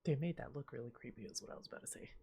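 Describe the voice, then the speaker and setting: A woman's voice: very deep voice, lively intonation, very fast, vocal fry, highs cut off. A woman hosting a game playthrough, reading on-screen text aloud and commenting casually. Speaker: gaming channel host. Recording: loud game music behind the voice.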